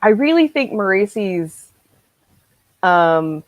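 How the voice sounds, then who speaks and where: A woman around her thirties chatting casually on a video call, acting out her reactions and quotes in an animated way. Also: medium pitch, slow, no echo, dull audio. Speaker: co-host of a book discussion. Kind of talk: casual chat. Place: small room at home, on a video call.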